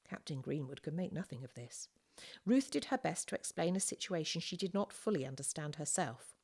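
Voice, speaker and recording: Female audiobook narrator, low register, scripted storybook reading. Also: animated, brisk, darkish tone. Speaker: audiobook reader.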